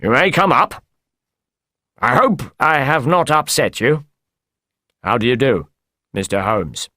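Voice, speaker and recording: A man acts out a frightened, evasive fat man for an audiobook. He pleads and exclaims in an agitated way, with very lively pitch swings and a deliberate, slow pace. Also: no echo, studio quality. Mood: anxious, polite. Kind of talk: audiobook character voice.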